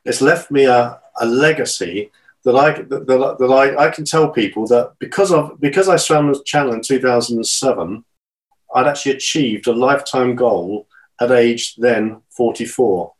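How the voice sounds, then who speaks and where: A man's voice: mid-range voice, normal speed, poor audio. Earnest stammering man in his fifties. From Great Britain. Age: 50s. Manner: stammering restarts, earnest and encouraging. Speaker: guest, channel swimmer. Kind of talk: casual interview talk.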